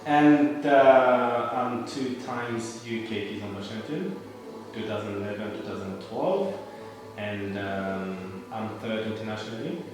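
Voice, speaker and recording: A man's voice: fairly low pitch, a little slow. A man with a French accent, a champion dancer introducing himself in an interview, upbeat and emphatic. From Cameroon, grew up in France. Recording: echoey hall, mic far off, music.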